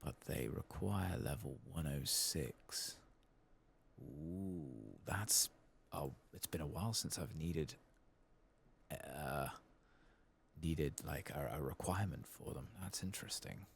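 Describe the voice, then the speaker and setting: A man giving soft-spoken, sometimes whispered ASMR commentary on a game, murmuring to himself and reading text aloud. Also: a bass voice, slow, gravelly, a little hiss or hum. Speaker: ASMR gaming channel host.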